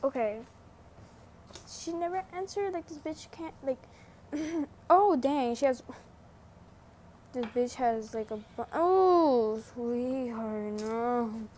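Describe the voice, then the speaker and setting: A young woman giving casual, slangy, sweary and teasing commentary as a gaming vlogger, with unhurried delivery. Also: sits high, a little mellow, slight background noise.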